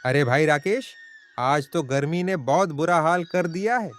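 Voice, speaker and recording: Male actor as feisty middle-aged village uncle, raised pitch, bossy and scolding, story drama over music. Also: moderate pace, a bit bright, lightly acted. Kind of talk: dramatized moral story acting.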